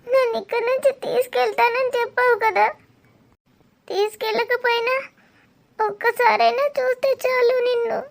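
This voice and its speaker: A woman's voice: extremely high pitch, gravelly, exaggerated voice. A woman playing a heartbroken young married woman who pleads in a tearful, crying monologue. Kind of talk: melodramatic audio drama.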